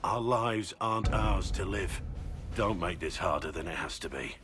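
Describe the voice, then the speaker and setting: A man playing a brooding, honourable middle-aged warrior in a video game, with measured, earnest delivery. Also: sits low, a bit bright, faint music behind. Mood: grave, resigned. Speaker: video game character voice actor. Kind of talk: dramatic game dialogue.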